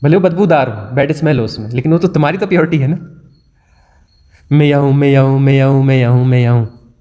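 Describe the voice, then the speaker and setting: A man's voice: mid-range voice, fast talker, dark tone. A man with an Indian accent giving a spiritual discourse, asking rhetorical questions and repeating phrases again and again to make his point. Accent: Hindi-speaking Indian. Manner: repetitive, rhetorical questions, chanting phrases to illustrate. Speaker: spiritual teacher. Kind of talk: satsang discourse.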